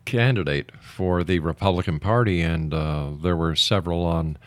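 A man's voice: low-pitched, moderate pace, dry recording. A man hosting an interview show, talking conversationally with his guest. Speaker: radio show host.

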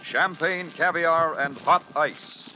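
A man's voice: on the high side, steady tone, slow pace, lo-fi sound, loud music behind the voice. A man announcing on 1940s radio, reading a scripted program promo. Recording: noisy old radio broadcast.

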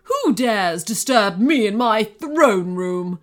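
A woman's voice: medium pitch, animated, takes it slowly, soft background music. A woman voicing a cruel, gluttonous goblin queen in a children's story, with a shrieking, cackling, gloating delivery. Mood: outraged shrieking. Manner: shrieking, cackling, gleeful menace.

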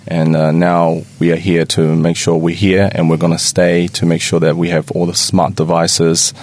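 A man speaking as a company spokesperson on a podcast, in loose, halting phrasing. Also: sits low, flat delivery, darkish tone, dry recording. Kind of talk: casual interview talk.

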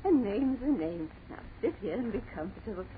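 An actress playing an eccentric, evasive old aunt in a 1940s radio drama, fussy and repeating herself. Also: female voice, mid-range voice, animated, a little fast, phone-quality sound, a crowd in the background, natural voice. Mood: fussing, hospitable. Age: older. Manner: fussy, repeats herself, brushes questions aside. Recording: scratchy, thin 1940s radio recording.

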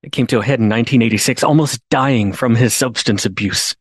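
A man's voice: mid-range voice, brisk, dry recording. A man hosting a podcast, telling a story in an earnest way, restarting on repeated words and rising to emphatic pleas. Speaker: podcast host. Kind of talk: storytelling monologue.

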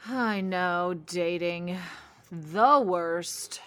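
An actress playing a candid, neurotic single woman in naturalistic audio drama, expressive and opinionated. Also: on the low side, very slow, full character voice. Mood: candid, wry.

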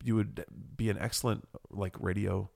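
A young man co-hosting a podcast, telling stories in a relaxed, rambling way with a deep, gravelly voice. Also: moderate pace. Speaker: podcast co-host. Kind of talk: casual chat.

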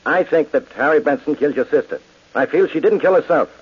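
A brusque police inspector. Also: male voice, a little high, fast talker, slightly rough. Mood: firm. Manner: brusque, weary. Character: gruff police inspector, man. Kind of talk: 1940s radio acting. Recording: dull old radio recording.

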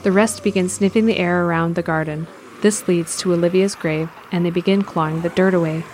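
A woman reading scripted narration for an audio drama in a measured, descriptive way. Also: fairly low pitch, fairly even intonation, darkish tone, slight background noise.

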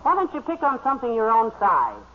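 A woman playing a soft-hearted, gently teasing wife with wry delivery in 1940s radio comedy. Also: a little high, huge pitch swings, brisk. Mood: reproachful. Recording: tinny noisy vintage radio recording.

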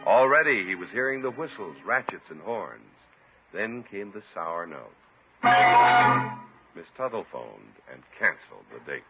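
Very animated 1950s radio announcer man. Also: low-pitched, a little slow, phone-quality sound, over loud music. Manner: polished, emphatic salesman's delivery. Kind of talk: 1950s radio commercial and scripted narration. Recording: thin, husky-sounding old radio band.

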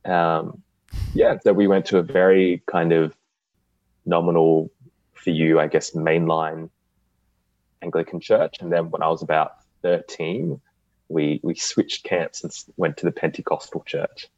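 A young man with an Australian accent and a very deep voice talks in an easy, relaxed way, with a dry sense of humor. The sound is close and dry, a little dull and lo-fi. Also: measured pace, no room sound. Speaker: podcast guest. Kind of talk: casual interview.

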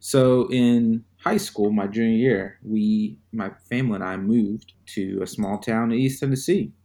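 A man from Zimbabwe, a podcast guest, telling his personal story in a measured, reflective way. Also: lowish voice, steady tone, warm tone.

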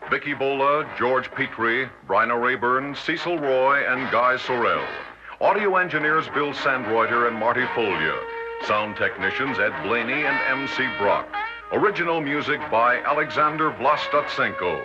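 Old 60s radio announcer guy, formal, animated pitch, big music behind him. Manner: formal, measured. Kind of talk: 1960s radio credits announcement. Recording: muffled old radio recording with loud music.

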